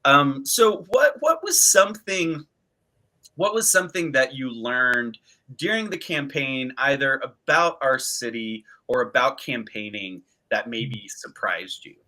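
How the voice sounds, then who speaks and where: A man's voice: medium pitch, animated, measured pace, pushed and bright, poor audio. A man hosting an interview, speaking earnestly and thinking aloud with frequent restarts. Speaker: podcast host, interviewer. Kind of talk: casual conversational interview.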